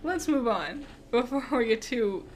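A young woman with a slightly high voice co-hosts a loose, casual podcast. She swears freely and breaks into laughter often. Faint background noise sits under the recording. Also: lively intonation, a little slow. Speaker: podcast co-host.

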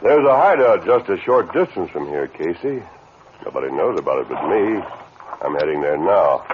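A tinny, noisy vintage radio western features a masked lawman hero. His voice is deep, calm and commanding, with a firm, assured delivery. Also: normal speed. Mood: confident, persuasive. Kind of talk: 1940s-50s radio western acting.

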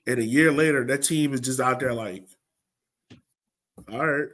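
A man's voice: mid-range voice, unhurried, fairly bright tone. A man hosting a sports podcast in a casual, colloquial way, sounding exasperated and throwing out rhetorical questions. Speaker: podcast host.

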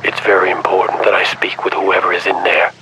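A man voicing a calm male interviewer who asks questions quietly. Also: low voice, a little fast, over music, natural voice, poor audio. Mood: probing.

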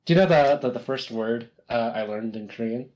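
A man's voice: medium pitch, fairly quick, crowd noise, poor audio. A young man co-hosting a podcast, chatting casually and hesitantly, filling his talk with "um" and "you know". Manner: hesitant, lots of ums and you-knows. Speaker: podcast co-host. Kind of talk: casual chat.

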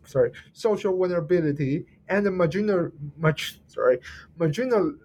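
A man's voice: high voice, lively intonation, moderate pace, darkish tone. Hesitant man hosting, faint noise. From China. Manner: hesitant, frequent self-corrections and apologies. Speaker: podcast host. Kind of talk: interview hosting.

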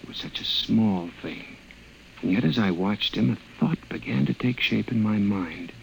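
An American actor playing an anguished, obsessive office scientist in melodramatic 1950s radio drama style, on an old radio recording. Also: male voice, fairly low pitch, normal speed, vocal fry, slight background noise, rough recording. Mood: haunted, brooding. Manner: melodramatic, haunted, intense delivery. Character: anguished, obsessive male office scientist narrating his nightmare.

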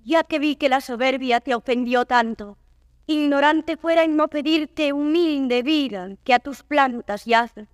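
Sorrowful noble avenger, fairly high voice. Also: female voice, no room sound, studio quality. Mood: humble pleading. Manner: measured, solemn verse declamation. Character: noble wandering avenger, sorrowful, courteous and proud. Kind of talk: classical Spanish verse stage acting.

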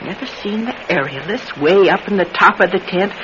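A high, swooping voice for an old retired circus trouper in a radio play. He is folksy, nostalgic and wary, rambling like a born storyteller. The sound is dull vintage radio with clear noise behind it. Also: expressive, normal speed, fairly bright tone. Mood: animated, nostalgic. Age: older. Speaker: radio drama actor. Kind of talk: classic radio drama acting.

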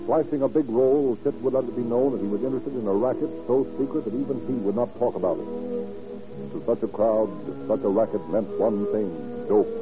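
Muffled old-radio male narrator over music. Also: on the low side. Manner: formal, dramatic. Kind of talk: 1930s radio crime drama narration. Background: dramatic music.

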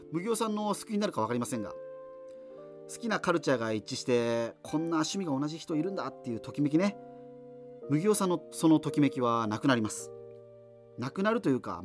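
Radio drama host played by a man: higher-pitched, very lively delivery, digressive, apologetic, self-mocking subculture obsessive. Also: natural voice. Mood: wry, apologetic. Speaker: radio show host answering listener letters. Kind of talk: rambling radio talk monologue in a radio drama.

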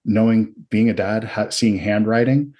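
An American man hosting a podcast, talking casually and reflectively with a slightly husky voice. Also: on the low side, steady tone, normal speed, highs cut off. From Georgia and New Jersey, United States. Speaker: podcast host.

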